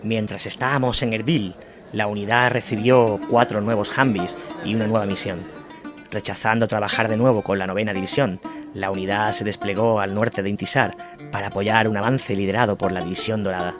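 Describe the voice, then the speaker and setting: A man's voice: lowish voice, steady tone, fairly quick. A man narrating a scripted documentary account. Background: music under the voice.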